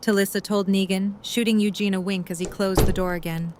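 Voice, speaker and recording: A woman's voice: mid-range voice, steady tone, fairly quick, noisy background. A woman narrating a scripted story in an audio drama.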